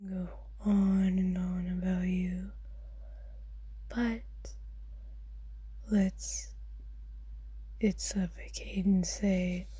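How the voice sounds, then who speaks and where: A woman playing an ancient, wealthy vampire, a kind but possessive owner, in a soft, soothing whispered ASMR roleplay with formal phrasing. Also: medium pitch, breathy edge, no echo, heavily acted, poor audio. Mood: tender, possessive.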